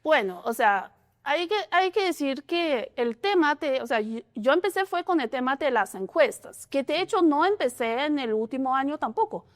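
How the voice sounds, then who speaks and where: A woman's voice: sits high, lively intonation, moderate pace. Hesitant woman. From China. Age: 40s. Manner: hesitant, many restarts and "o sea" fillers. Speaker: statistician and interviewee. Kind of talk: casual interview answers.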